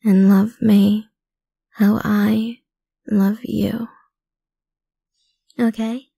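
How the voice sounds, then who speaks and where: A woman playing a possessive, lovesick mafia boss's daughter in an ASMR roleplay, speaking softly and breathily, often slipping into whispers, with sweet menace. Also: medium pitch, little pitch movement, no echo, clean studio sound, heavily acted. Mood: obsessive, tender. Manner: soft, breathy, slow, often dropping into whispers. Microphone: close mic, dry.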